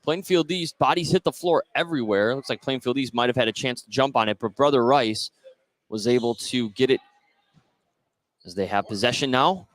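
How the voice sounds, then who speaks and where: A man's voice: medium pitch, moderate pace. A man doing live basketball radio play-by-play, narrating each play in short phrases. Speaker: play-by-play commentator.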